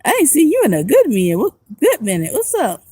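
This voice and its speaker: A woman's voice: on the high side, wildly swooping pitch, a little fast, background noise. A woman who is a homeless vlogger and music producer, chatting casually and rambling in slang on a phone livestream outdoors at night.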